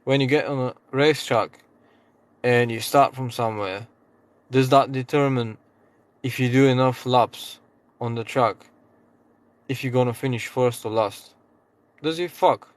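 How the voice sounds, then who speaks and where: A man's voice: medium pitch, measured pace, pauses often, no room sound. A man speaking English as a second language, casually giving a rambling motivational talk to camera, often restarting words and swearing freely. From outside the UK. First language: not English. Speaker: vlogger, trading channel host.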